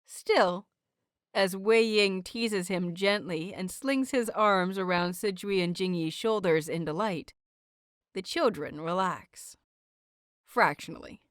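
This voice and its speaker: A woman's voice: mid-range voice, a bit bright, clear, resonant tone, no room sound. Woman narrating an audiobook, close and dry. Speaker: host and narrator of fan fiction audiobooks. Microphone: close microphone, dry recording.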